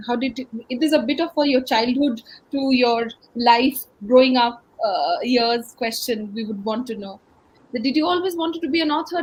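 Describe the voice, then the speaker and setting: A woman's voice: sits high, darkish tone, husky, poor audio. A woman, interviewing in a casual, conversational way.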